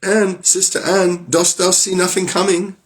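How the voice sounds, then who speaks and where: A man's voice: sits high, flat delivery, unhurried, voice pushed up. A man doing a frightened young wife. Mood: anxious calling. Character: frightened young wife pleading for her life.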